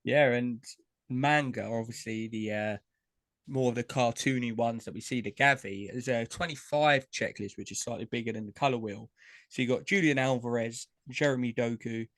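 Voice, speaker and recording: A man talks casually on a podcast, rattling through lists of names with plenty of fillers. His voice sits at a middle pitch. The recording is dry and close, with no room sound. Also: moderate pace, fairly bright tone, no echo. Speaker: podcast co-host. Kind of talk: casual chat about trading cards.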